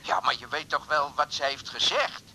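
An impulsive, sheepish middle-aged man in a radio drama, pleading his case. His voice is high for a man and very bright and sharp. He talks in halting, hesitant bursts. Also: lively intonation, heavily acted. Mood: regretful, pleading. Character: impulsive, sheepish older suitor calling on the phone. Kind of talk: 1980s Dutch radio drama acting.

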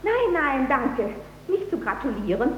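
An actress in a 1940s German radio play, playing a mayor's flustered, chatty and genteel wife. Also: female voice, high voice, big pitch swings, unhurried. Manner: fussy, breathless social chatter. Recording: echoey, dull, hissy old radio recording.

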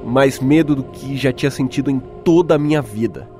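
A man's voice: medium pitch, normal speed, music in the background, natural voice. A Brazilian man playing a frightened young captive who gives an anxious, colloquial first-person testimony in a horror audio drama. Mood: fearful. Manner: anxious, colloquial confessional telling.

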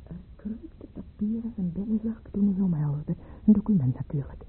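An actress playing a secretive woman in a radio play, speaking in hushed, halting phrases to herself. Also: medium pitch, expressive, close to an everyday voice. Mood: hushed, furtive. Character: furtive, secretive woman snooping while man sleeps. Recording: muffled narrow-band old radio, faint noise.

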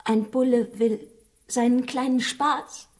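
An actress playing an exhausted, desperate young woman in a radio crime drama, halting and pleading. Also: on the high side, steady tone, a little mellow. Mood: incredulous, upset.

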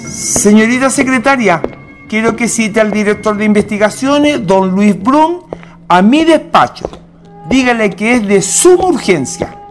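An actor playing a grave, commanding president in a radio drama, speaking formally and deliberately. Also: male voice, sits high, lively intonation, faint music behind. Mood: indignant, formal.

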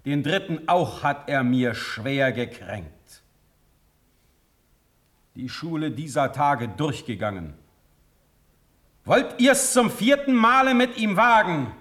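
An actor playing a stern but magnanimous middle-aged ruler in a classical verse radio drama, speaking with solemn, measured authority. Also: male voice, a little high, sing-song, a bit bright, heavily acted. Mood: stern, challenging. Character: stern, magnanimous ruling prince, measured and commanding.

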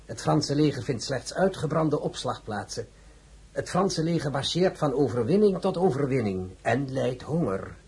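Husky, courteous political schemer. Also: male voice, medium pitch, animated, normal speed, a little mellow, exaggerated voice. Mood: suave, knowing. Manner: formal, smoothly deferential. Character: courteous, insinuating gentleman of political intrigue. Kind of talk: period radio drama acting.

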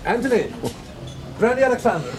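A man's voice: very high voice, expressive, a little fast. A man playing a jovial, cultured critic in a radio drama, speaking in an effusive, urbane, slightly hesitant manner. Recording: busy bar chatter behind. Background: crowd murmur of a bar.

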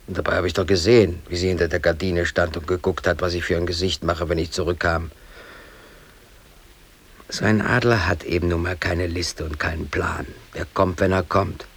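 A man's voice: low-pitched, level tone, normal speed, slightly rough. An actor playing an aggrieved, defiant working man in his thirties, brooding aloud in colloquial speech in a radio drama. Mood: bitter, rueful. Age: 30s. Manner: colloquial, brooding, talking to himself. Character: aggrieved, defiant working man, hobby photographer, in police custody.

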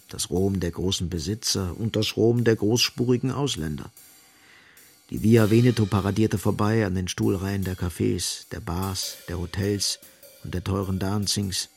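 An actor as a grave, dramatic literary narrator in a radio play. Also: male voice, deep voice, fairly even intonation, measured pace, creaky, a little mellow. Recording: music underneath.

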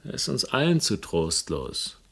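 An actor playing a wry, middle-aged investigator who narrates and talks in a German radio play, sardonic, literary and dryly teasing. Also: male voice, fairly low pitch, wildly swooping pitch, measured pace, gravelly, fairly bright tone, husky, heavily acted. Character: wry, world-weary male investigator and narrator, flirtatious, philosophical. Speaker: lead actor and first-person narrator.

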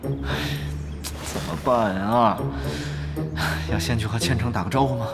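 An actor playing an exasperated, excitable young male guild leader in an audio drama, grumbling and easily flustered. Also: mid-range voice, moderate pace, natural voice. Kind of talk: audio drama acting, dialogue and inner monologue. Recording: music bed under dialogue.

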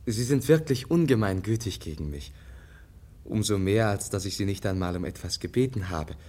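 A gentle young prince in vintage German radio drama. His voice is fairly low and husky. He speaks with naive sincerity, very courteous and somewhat hesitant. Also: expressive, moderate pace. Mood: polite, earnest. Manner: polite, earnest, hesitant.

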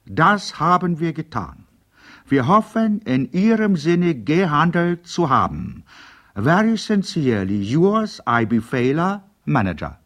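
Slow-spoken American hotel manager. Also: male voice, a little high, big pitch swings, fairly bright tone, heavily acted. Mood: polite, businesslike. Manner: formal, deliberate. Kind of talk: radio play, business letter read aloud in character.

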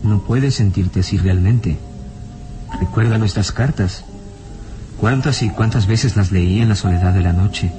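A man's voice: on the low side, measured pace, natural voice. An actor playing a possessive, brooding nobleman in an intense, melodramatic old radio drama style. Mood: ardent. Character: possessive, brooding nobleman suitor hiding a dark secret. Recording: vintage radio serial with music behind.

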